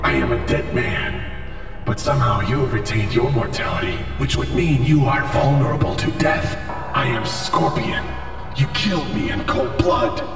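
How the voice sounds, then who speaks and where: An actor playing a bitter undead ninja specter, gravelly, accusing and menacing. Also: male voice, medium pitch, moderate pace, lightly acted. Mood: bitter, vengeful. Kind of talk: video game villain acting. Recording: heavily echoing, music behind.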